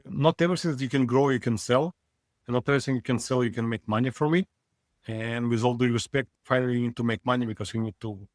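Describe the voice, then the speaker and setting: Male CEO guest from Israel, frank and wry, mid-pitch, dry close sound. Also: dry recording. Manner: frank, wry, halting non-native phrasing. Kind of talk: casual interview talk.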